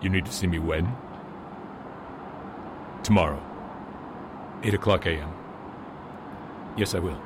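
An actor playing a gruff, dry companion in an amateur radio drama. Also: male voice, low-pitched, a little slow, long pauses, noisy background. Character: gruff former thief listener, dry.

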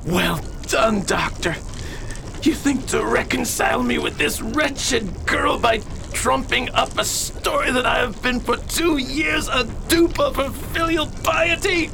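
An actor playing an obsessed, bitter elderly alchemist father in a radio drama, creaky and theatrically declamatory. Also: extremely high pitch, takes it slowly, background noise, exaggerated voice. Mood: outraged, scornful.

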